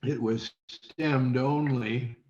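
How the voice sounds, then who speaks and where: An older man reminiscing as an interview guest, speaking in a halting, deliberate way over a video call. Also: medium pitch, fairly even intonation, darkish tone. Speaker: interview guest, former child radio quiz contestant. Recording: dull, echoey video-call audio.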